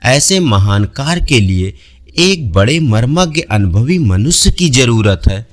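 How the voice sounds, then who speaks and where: A man's voice: medium pitch, sing-song, a little slow, pitched below the performer's own voice. A man playing a humble young officer. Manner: humble, deferential. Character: humble young male officer, modest and grateful.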